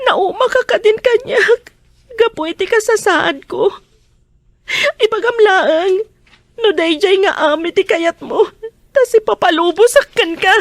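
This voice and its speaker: A woman's voice: very high-pitched, a little slow, no echo. A woman acting a sorrowful, betrayed wife in a radio drama, plaintive and hesitant, with an Ilocano accent. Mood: hurt, pleading. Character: Roxanne, sorrowful betrayed wife, meek and pleading.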